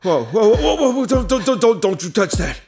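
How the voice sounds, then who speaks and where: A man playing a crude, menacing henchman who turns cowardly when threatened, with rough colloquial speech, in an audio drama. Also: high voice, sing-song, normal speed, noisy background, exaggerated voice. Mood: panicked pleading.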